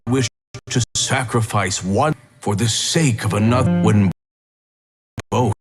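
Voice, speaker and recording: The grave, low storyteller of a fantasy game cutscene, a weary healer measuring out his legend over a clear musical score. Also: music in the background. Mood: grave, regretful. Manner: slow, grave, measured storytelling with long pauses. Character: solemn, weary male doctor recounting a legendary healing contract.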